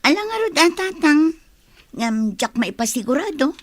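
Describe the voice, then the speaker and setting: High-voiced young daughter played by a woman, dry sound. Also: very animated, moderate pace, no echo. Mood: respectful. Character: affectionate young daughter, respectful to her elders. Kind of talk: family audio drama dialogue.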